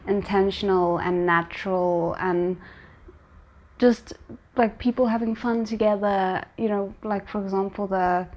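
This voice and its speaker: A woman giving casual, expressive reaction commentary on a series, thinking aloud in an informal way. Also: medium pitch. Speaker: reaction video host.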